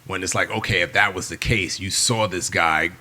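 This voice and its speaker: A Nigerian-born man in his forties, a Yoruba native speaker, guests on a podcast and tells a long story with animated energy, voicing the people in it. His voice sits low yet has a bright, forceful edge. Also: normal speed. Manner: animated storyteller who acts out quoted people. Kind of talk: storytelling in a long-form interview.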